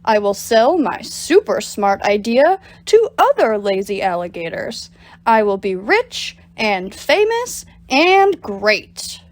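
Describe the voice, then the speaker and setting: A woman's voice: mid-range voice, very animated, slow, dry recording. A woman voicing a lazy, hungry, scheming male alligator from a storybook, pleading and boastful in an exaggerated way. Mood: greedy, boastful.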